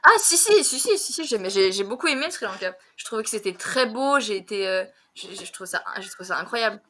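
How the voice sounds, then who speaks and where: A young woman teaching conversation over a video call, speaking quickly with frequent giggles and "euh" fillers. Her voice is bright and forward. She sounds relaxed and chatty. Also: mid-range voice. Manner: fast-talking, giggly, many fillers. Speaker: French conversation tutor. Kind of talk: casual conversation lesson.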